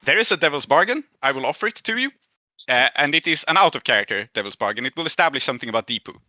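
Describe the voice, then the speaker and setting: A man talks casually as a tabletop game master. His voice is very bright and forceful with lively pitch, and the audio is dry but slightly dull. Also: very fast, dry recording. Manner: frequent short affirmations while listening.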